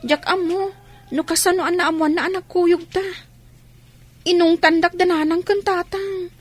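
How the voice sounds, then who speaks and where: A woman's voice: very high-pitched, fairly even intonation, normal speed. A woman playing an earnest, dutiful young student with an Ilocano accent in a Philippine radio drama. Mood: worried.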